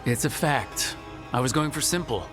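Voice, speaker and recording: A man playing a steady, encouraging adventurer in a video game, speaking plainly. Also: medium pitch, normal speed, over music, lightly acted. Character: steady, plain-spoken male adventurer leading companions.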